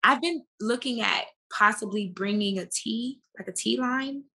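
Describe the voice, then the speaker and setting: A woman's voice: on the high side, a little slow, sharp tone. An American woman talking casually as an interview guest, with an emphatic, slangy way of speaking. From United States.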